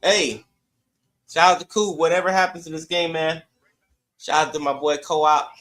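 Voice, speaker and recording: A man's voice: fairly high pitch, fairly even intonation, normal speed, a bit bright. A man co-hosting a sports podcast, chatting casually about games and players.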